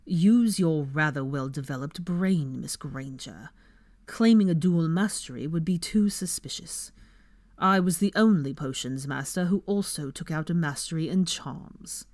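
A woman's voice: on the low side, normal speed, a little mellow, dry recording, clean studio sound, close to an everyday voice. A woman voicing a haughty middle-aged male wizard in an audiobook story, with a controlled, sarcastic drawl. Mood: dry, cutting.

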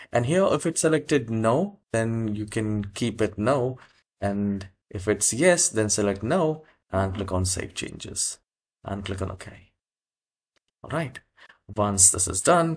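A man with a fairly low voice narrates a software tutorial. He gives instructions one step at a time and goes back over key steps as he guides the listener. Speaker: tutorial host.

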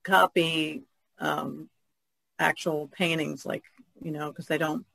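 A man who paints, talking casually and a little haltingly in a podcast discussion. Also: a little high, fairly even intonation, vocal fry, bright, forceful tone, no echo.

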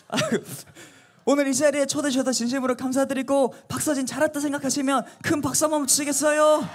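High-voiced man in his thirties on stage. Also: normal speed. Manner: lively, grateful, chatty with the crowd. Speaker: singer. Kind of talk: stage banter between songs. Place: outdoor temple concert stage at night. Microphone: handheld microphone. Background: loud band music.

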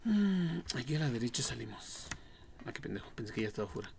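A young man with a Mexican accent giving casual, slangy, foul-mouthed commentary on a horror game livestream, reacting out loud as he plays. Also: mid-range voice, very animated, quick pace, gravelly. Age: young adult. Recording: noisy home livestream with clicks and typing.

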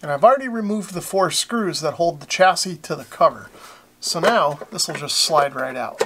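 A man narrates a hands-on tutorial. His voice sits slightly higher than most men's. He explains each step in a plainspoken, methodical way. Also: expressive, normal speed, heavy background noise. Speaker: host of a radio repair tutorial.

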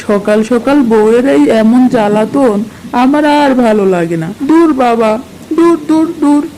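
Woman as a grumbling cartoon husband, exasperated, with a mellow, slightly high voice. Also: animated, normal speed, slight background noise, lightly acted, low-quality recording. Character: grumbling lazy village husband. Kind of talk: cartoon dubbing.

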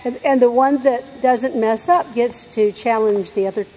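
A woman's voice: fairly high pitch, normal speed, dark tone, slight background noise, rough recording. Chatty woman coaching from nearby. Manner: encouraging, chatty asides. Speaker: instructor supervising the class. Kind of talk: casual classroom remarks. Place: large indoor gymnasium.

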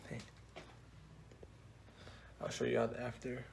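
A man's voice: medium pitch, monotone, measured pace, vocal fry. A young man chatting casually and informally to camera as a vlogger, recorded at arm's length. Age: young adult. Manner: slangy, informal. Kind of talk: casual vlog chat. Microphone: phone or camera at arm's length. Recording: noisy handheld camera recording.

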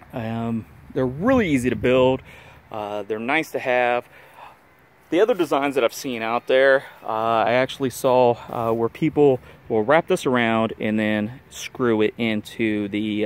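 A man filming a casual how-to video outdoors in a yard, talking in a relaxed, rambling way with lots of fillers while holding the camera. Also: medium pitch. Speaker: vlogger showing a DIY kayak rack.

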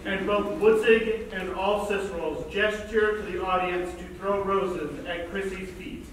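A man reading stage directions aloud in a measured way at a staged play reading. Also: high-pitched, steady tone, a little hiss or hum. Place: large indoor theatre stage. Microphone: far camera in audience. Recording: very echoey theatre, distant microphone.

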